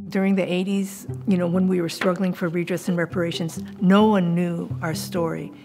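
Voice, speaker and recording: An older woman with an American accent, speaking earnestly and in a measured way in a documentary interview. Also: fairly low pitch, a little mellow. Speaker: community activist interviewee. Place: indoor room, seated filmed interview. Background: music.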